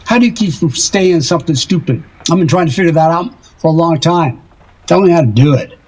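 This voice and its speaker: An actor playing a lovesick, self-deprecating older married man in an audio drama, rambling wistfully and haltingly in a husky voice. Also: fairly high pitch, darkish tone, close to an everyday voice, low-quality recording. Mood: hesitant.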